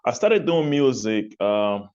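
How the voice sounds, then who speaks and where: A man from Cameroon, answering interview questions in a relaxed way, repeating phrases and laughing lightly between points. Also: mid-range voice, takes it slowly, clear, resonant tone, dull audio. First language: not English. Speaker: guest, music artist and label executive.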